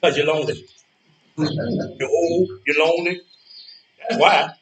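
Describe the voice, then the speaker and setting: A man's voice: on the high side, unhurried, close to an everyday voice. An actor playing a blunt, cynical, streetwise man in a stage play, dismissive and emphatic with his old friend. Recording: echoey, far from mic, birdsong.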